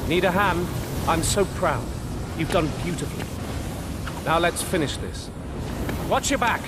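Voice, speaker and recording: An actor playing a brave, encouraging wizard fighter in a fantasy game. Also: male voice, on the high side, expressive, measured pace. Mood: urgent. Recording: loud noise behind the voice.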